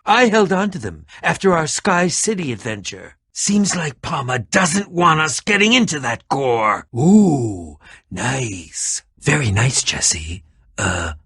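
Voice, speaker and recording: An eccentric game adventurer, high and bright in voice. He swoops wildly in pitch, fussing over words with theatrical relish. The delivery is excitable and dramatic. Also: dry recording, clean studio sound, exaggerated voice. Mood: low, musing. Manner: theatrical, fussy, dramatic emphasis with sudden swoops. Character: eccentric, excitable male adventurer and potion maker. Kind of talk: animated video game character acting.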